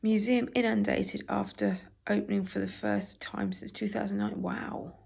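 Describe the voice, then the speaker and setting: A British woman with a raspy voice, softly reading newspaper stories for ASMR and adding wry, halting asides. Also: medium pitch, steady tone. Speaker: ASMR creator.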